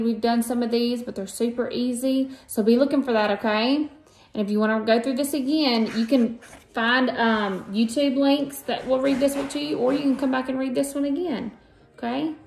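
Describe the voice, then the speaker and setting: An American woman in her thirties reading a picture book aloud and chatting casually to children, with sing-song emphasis. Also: on the high side. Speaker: read-aloud host. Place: small room at home. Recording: roomy echo, faint background noise.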